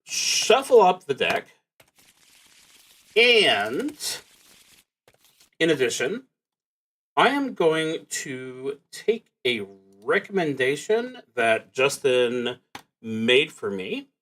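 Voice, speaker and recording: A man hosting a solo tabletop roleplaying stream, casually explaining rules and haltingly thinking aloud as he reads. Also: mid-range voice, big pitch swings, fairly bright tone, rough recording.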